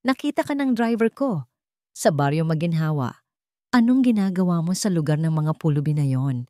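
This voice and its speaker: A woman's voice: sits low, lively intonation, moderate pace, dark tone, dry recording, studio quality. A woman voicing a wealthy, domineering older mother in a story, cutting and scornful. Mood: accusing.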